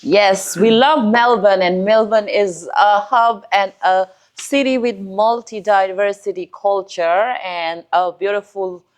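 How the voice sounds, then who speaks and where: A woman hosting an interview, asking prepared questions in a chatty, encouraging and affirming way. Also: medium pitch. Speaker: host interviewer. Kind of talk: scripted questions with casual chat.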